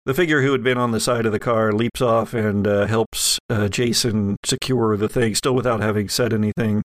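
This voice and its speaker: A man working as game master, improvising halting but vivid narration for a tabletop horror game on a podcast. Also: fairly low pitch, fairly even intonation, dry recording.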